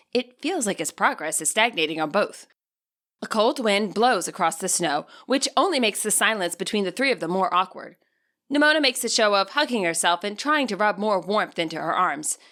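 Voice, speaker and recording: Bright, animated woman narrating a story. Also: medium pitch. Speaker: fan fiction author and audiobook narrator. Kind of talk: expressive scripted story narration.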